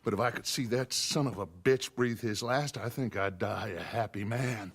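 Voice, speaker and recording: An actor playing a commanding middle-aged outlaw gang leader in a dramatic video game, gravelly and theatrical. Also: male voice, lowish voice, slight background noise, close to an everyday voice. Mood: eager, commanding. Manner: grandiose, deliberate, commanding.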